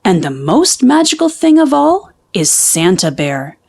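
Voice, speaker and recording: A woman playing a kindly, reassuring father bear who gently explains things in a children's storybook. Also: medium pitch, big pitch swings, unhurried, vocal fry, full character voice. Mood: warm wonder.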